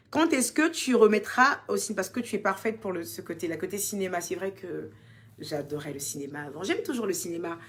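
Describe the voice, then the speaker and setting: Young woman, Ivorian French accent, casual live Q&A host, teasing and affectionate with fans, soft quiet asides. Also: mid-range voice. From Côte d'Ivoire. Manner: chatty and teasing, calls fans "ma chérie". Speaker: social media personality answering fan questions. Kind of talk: casual live Q&A reading viewer comments.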